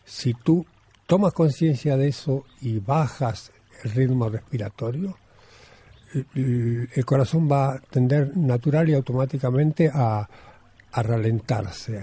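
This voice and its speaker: A man's voice: mid-range voice, dark tone, breathy edge. A man with an Argentine accent, a Zen monk being interviewed, talking reflectively and searching for words, often repeating a phrase. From Buenos Aires, Argentina. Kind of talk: reflective conversation.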